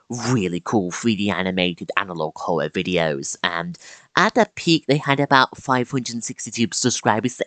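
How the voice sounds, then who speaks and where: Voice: sits low, a bit bright, no room sound. A YouTuber narrating a scripted video essay with casual asides and dramatic emphasis on key words.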